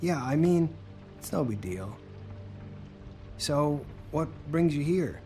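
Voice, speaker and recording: A man's voice: on the high side, animated, takes it slowly, mellow, soft background music. An actor playing a meek, nervous, eager-to-please male night worker in a video game, hesitant and apologetic. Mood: awkward, friendly.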